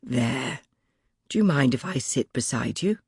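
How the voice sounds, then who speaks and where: A reader voicing a shy, gentle young man at court who speaks softly and hesitantly, lower than the narration. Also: lively intonation, measured pace, creaky, slightly rough, no room sound, clean studio sound, full character voice. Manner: soft and hesitant.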